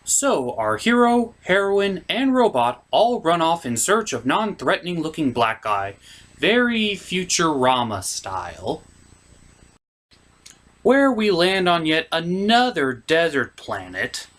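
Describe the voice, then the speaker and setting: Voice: medium pitch, big pitch swings, slow, fairly bright tone. A theatrical, exaggerated host narrating a scripted comedic movie recap.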